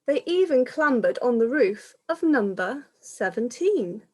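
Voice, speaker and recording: A woman, a primary school teacher, reading a picture book aloud to young children in a measured, explanatory manner. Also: a little high, very animated, warm tone, slight background noise.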